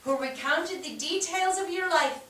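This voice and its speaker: Actress playing earnest female storyteller, declamatory stage delivery, bright and lively, echoey distant recording. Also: very high-pitched, a little slow, a little hiss or hum, heavily acted. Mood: earnest, explanatory. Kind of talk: staged play reading, theatrical acting. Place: theatre stage, recorded from afar. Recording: distant microphone in echoey theatre.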